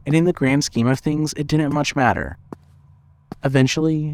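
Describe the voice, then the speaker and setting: Measured male horror story narrator. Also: mid-range voice. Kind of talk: scripted horror audio drama narration.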